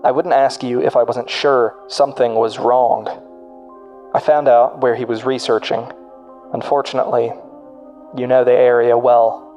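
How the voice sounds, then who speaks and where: A man acts as an anxious researcher in a horror story, sending secret messages. The voice is mid-pitched and plain-spoken, uneasy and confiding. Soft music runs underneath. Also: level tone, moderate pace, lightly acted. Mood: worried.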